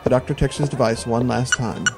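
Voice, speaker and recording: A man with a medium-pitched voice narrates an audio drama. His delivery is scripted storytelling, set over a clearly audible music bed. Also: fairly even intonation, fairly quick.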